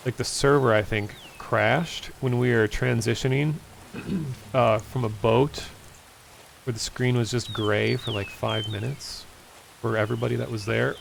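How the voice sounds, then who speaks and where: A man streaming a game, casually answering chat and talking through play, hoarse from losing his voice after hours of talking. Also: medium pitch, a little slow, slight background noise. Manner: relaxed, easygoing, answers chat questions. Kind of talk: casual gameplay commentary, reading chat.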